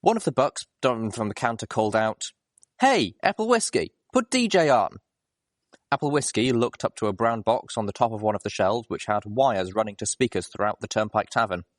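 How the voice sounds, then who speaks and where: A man reading a story aloud as a scripted, expressive narration. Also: fairly low pitch, a little fast, few pauses, no room sound, clean studio sound. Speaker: audiobook reader.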